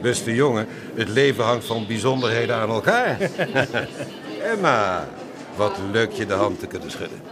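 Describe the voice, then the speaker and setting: Low-voiced, jovial older expatriate man. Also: big pitch swings, a little slow, music in the background. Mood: jovial, welcoming. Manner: jovial, chuckling, avuncular. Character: genial, wealthy older widowed expatriate man, worldly and talkative. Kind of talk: radio drama acting. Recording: noisy outdoor setting with vehicle rumble.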